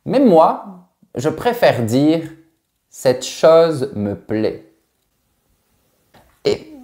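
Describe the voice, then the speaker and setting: A man from France teaching French phrases in a scripted lesson, with slow, carefully articulated delivery. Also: high voice, warm tone. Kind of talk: scripted language lesson with acted dialogue.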